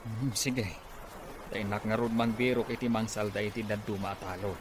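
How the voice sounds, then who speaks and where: A man's voice: on the low side, little pitch movement, moderate pace, noisy background, close to an everyday voice. A man acting a practical village husband in an Ilocano drama, speaking with an Ilocano accent. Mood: resigned, practical. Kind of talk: amateur Ilocano radio-style drama acting.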